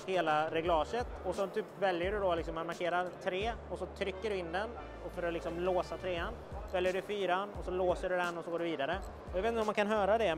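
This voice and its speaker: A young man giving an informal, explanatory product demonstration to camera, as a video presenter. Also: high-pitched. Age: young adult. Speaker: video presenter for an electronics retailer. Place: indoor trade fair booth.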